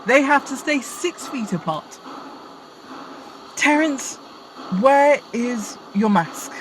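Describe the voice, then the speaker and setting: A woman's voice: sits high, lively intonation, slow pace, a little hiss or hum, poor audio. A woman playing a bossy, sarcastic, exasperated supervisor in Santa's workshop in a radio play. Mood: scolding. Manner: scolding, exasperated.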